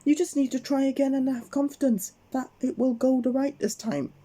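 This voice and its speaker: Casting: upbeat male storybook buddy, high and loud, cheering his friend on played by a bedtime-story reader. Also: steady tone, brisk.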